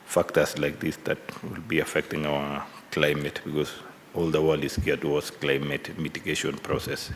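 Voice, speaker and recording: A man questioning presenters at a parliamentary hearing, halting and probing, often restarting his sentences. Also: rumbling low, flat delivery. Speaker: committee member questioning presenters. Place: large hearing room. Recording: long hall reverb, faint room noise.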